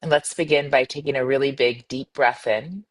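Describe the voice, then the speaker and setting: Woman guiding a slow, soothing meditation. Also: very deep voice, steady tone. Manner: soothing, unhurried, gently instructing with pauses. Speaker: meditation guide, yoga teacher. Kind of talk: guided meditation.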